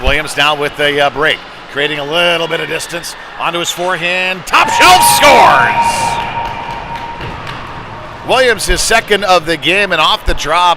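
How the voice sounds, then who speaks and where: A man doing live ice hockey play-by-play in a conversational manner that lifts on the action. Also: fairly high pitch. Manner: conversational, rising to excited calls on big plays. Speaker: former goaltender. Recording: clear arena noise behind the voice.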